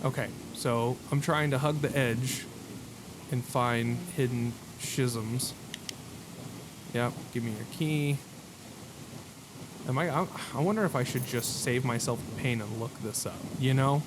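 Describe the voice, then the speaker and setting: A mid-pitched man commentates on a game in a casual, slangy way. He jokes at his own expense. Clear game noise runs behind his voice. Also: measured pace, pauses often. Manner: joking, self-deprecating, slangy. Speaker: gaming video host. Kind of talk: casual let's-play commentary.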